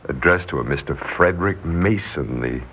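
An actor playing a suave, scheming husband posing as a wealthy householder in a 1960s radio drama, smooth and commanding, curt with intruders. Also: male voice, extremely low pitch, expressive, moderate pace, full character voice. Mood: uneasy, puzzled. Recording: muffled, noisy 1960s radio recording.

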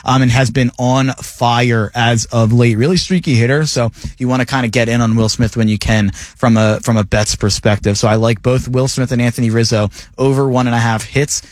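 A man hosting a sports betting radio show in a studio, rattling off his picks in a jokey, rapid-fire way. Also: mid-range voice, fairly even intonation, dry recording. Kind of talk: casual sports radio talk. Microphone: studio mic.

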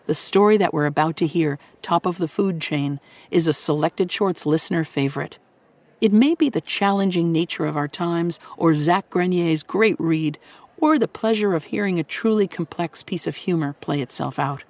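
A woman hosting a radio show, giving a scripted introduction to a story in a measured, articulate way with deliberate pauses. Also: lowish voice, a little mellow, no room sound.

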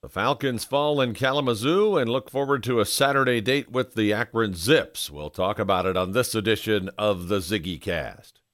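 Low, bright-voiced male sports host. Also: moderate pace. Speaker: sports podcast host and football play-by-play announcer. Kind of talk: scripted recap and live play-by-play commentary.

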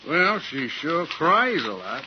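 An actor playing an unhurried, obliging local lawman, matter-of-fact, in a 1950s radio thriller. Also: on the high side, big pitch swings. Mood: calm, obliging. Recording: dull, noisy 1950s radio recording.

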